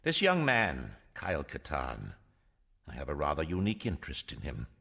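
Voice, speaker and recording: A sinister Imperial lord for audio drama. The voice is extremely deep and gravelly, swinging widely in pitch. He speaks with measured, imperious menace, every phrase scheming. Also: huge pitch swings, bright, forceful tone, full character voice. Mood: cold scheming.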